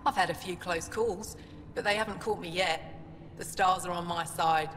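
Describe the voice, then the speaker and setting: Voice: medium pitch, normal speed, a little hiss or hum, natural voice. An actor voicing a breezy alien trader. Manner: relaxed, breezy. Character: wandering alien trader, easygoing. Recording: echoey game audio with ambience.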